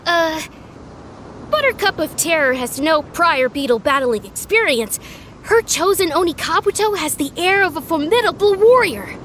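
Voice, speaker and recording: A woman's voice: very high voice, expressive, normal speed, fairly bright tone, a little hiss or hum. A woman voicing an earnest young enthusiast in a video game, speaking in formal, grandiose phrases. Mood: solemn.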